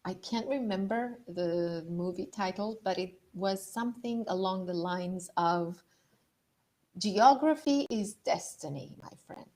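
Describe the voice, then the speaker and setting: A woman's voice: mid-range voice, a little slow, darkish tone. A middle-aged woman from Romania giving an online leadership masterclass, chatting through her slides with fillers over a video call. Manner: conversational, thinking aloud with fillers. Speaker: leadership coach.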